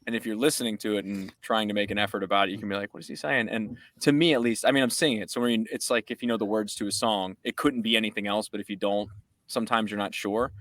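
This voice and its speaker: A man's voice: on the low side, steady tone, fast talker, a bit bright, no echo. A man, a musician guest, chatting casually and rambling upbeat about making a song. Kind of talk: casual chat.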